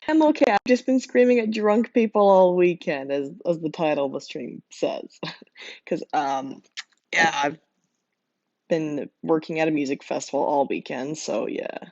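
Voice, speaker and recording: A young woman with a hoarse, worn-out voice chatting casually with her stream viewers, laughing often and rambling with lots of fillers. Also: low voice, unhurried, darkish tone, no room sound. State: hoarse, losing voice from screaming. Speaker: livestreamer drawing art. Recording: dull lo-fi dry mic sound.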